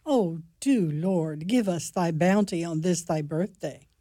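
A woman's voice: lowish voice, animated, slow, dark tone, a little hiss or hum. A woman playing a fussing, pious nun in a radio play. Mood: eager, prayerful. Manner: lilting, breathless, pious exclamations. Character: spry, fussing Irish-style nun, adult woman. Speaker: actor in a community radio play.

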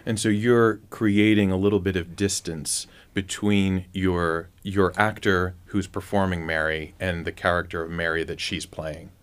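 A man's voice: low voice, little pitch movement, unhurried, hardly any pauses, clear, resonant tone. A man hosting a conversational interview, asking inquisitive questions with some hesitant restarts. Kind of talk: conversational radio-style interview.

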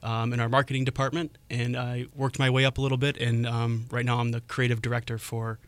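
A man's voice: mid-range voice, level tone, fairly quick, smooth, dry recording. A man who is a guest on a radio show, answering interview questions about his work with a hesitant manner and frequent "um"s. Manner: hesitant, lots of "um"s, friendly. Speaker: restaurant creative director, radio guest. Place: radio studio. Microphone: studio microphone.

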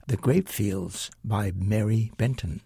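A man hosting a radio program, giving a measured, scripted introduction to a reading. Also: lowish voice, creaky, dark tone. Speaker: radio show host introducing a story.

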